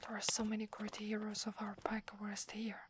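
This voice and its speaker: A young woman with an Italian accent playing a devoted, humble werewolf warrior girl in an ASMR roleplay, speaking in a soft breathy whisper. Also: mid-range voice, completely flat delivery, background noise, lightly acted. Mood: reverent, wondering. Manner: slow, hushed, deferential.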